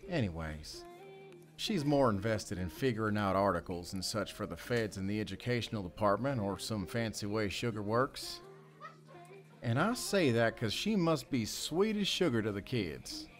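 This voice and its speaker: A man's voice: lowish voice, expressive, normal speed, faint music behind, close to an everyday voice. Folksy, rambling young man played by an actor. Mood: wry, rambling. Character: wry, rambling young ranch-hand newcomer phoning his mother. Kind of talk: audio drama acting.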